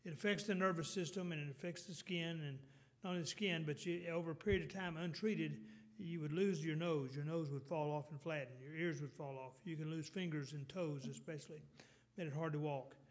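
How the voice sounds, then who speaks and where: A middle-aged preacher with a Texas drawl, his voice sitting a little high for a man. He works through his sermon in loose, rambling sentences, doubling back and restarting often. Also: fairly even intonation, a little fast. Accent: Texan. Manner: rambling, many restarts and fillers, clears throat.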